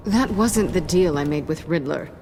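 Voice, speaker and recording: An actress voicing a guarded, wry thief for hire in a video game drama, speaking in a businesslike way. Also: female voice, low voice, moderate pace, vocal fry, darkish tone. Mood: terse. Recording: faint background noise.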